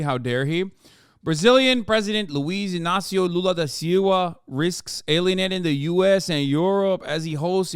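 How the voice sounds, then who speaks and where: Male streamer host, slightly high and smooth voice, dry close sound, profane and rambling. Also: no echo. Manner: profane, rambling, restarts mid-sentence. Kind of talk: casual live-stream reaction.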